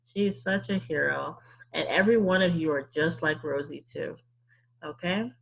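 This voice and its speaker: A woman's voice: deep voice, moderate pace, vocal fry, husky, rough recording. A woman hosting storytime, chatting casually and encouragingly to children and repeating words for emphasis. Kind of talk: casual explanatory talk to children.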